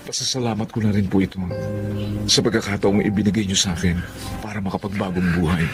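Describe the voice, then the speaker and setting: An actor playing a humble, grateful employee in a Filipino radio drama, deferential and sincere. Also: male voice, fairly low pitch, steady tone, quick pace, music in the background, lightly acted. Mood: earnest. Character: humble, grateful male employee, a reformed man. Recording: faint background noise.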